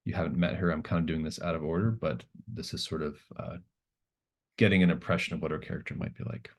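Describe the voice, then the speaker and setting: A man's voice: rumbling low, fairly even intonation, fast talker. A man narrating a tabletop roleplaying game as game master, improvising in a casual, conversational way.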